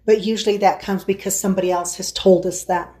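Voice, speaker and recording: A woman's voice: mid-range voice, steady tone, quick pace, dark tone. A woman hosting an interview, chatty and encouraging, often affirming her guest. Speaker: show host. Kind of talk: interview chat.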